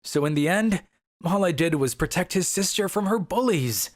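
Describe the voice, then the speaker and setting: A woman's voice: fairly low pitch, lively intonation, moderate pace, a little mellow, lightly acted. Woman voicing a scheming cowardly schoolboy. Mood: puzzled. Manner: boastful then flustered, stammering excuses. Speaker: voice actor. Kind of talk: manga dub voice acting. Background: background music.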